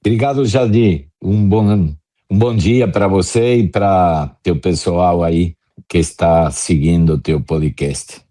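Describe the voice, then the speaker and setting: A man from Argentina with an Argentine accent, speaking Portuguese as a second language, telling his career story slowly with many hesitations in an interview. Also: deep voice, fairly even intonation, dark tone. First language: Spanish. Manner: slow, many hesitant fillers. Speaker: guest, drum importer.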